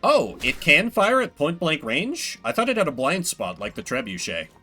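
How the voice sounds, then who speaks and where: A man's voice: on the high side, huge pitch swings, normal speed, bright, forceful tone, faint music behind. A man giving casual, wry and playful gameplay commentary as a streamer, swearing now and then. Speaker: video game streamer.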